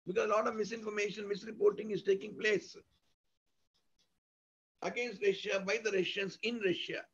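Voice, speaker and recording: A male university lecturer with an Indian accent speaks in a very high, husky voice. He explains in a didactic, emphatic manner, restating points in strings of synonyms. The audio is dull and lo-fi. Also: fairly even intonation, moderate pace, fairly bright tone. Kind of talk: academic lecture, explanatory with examples.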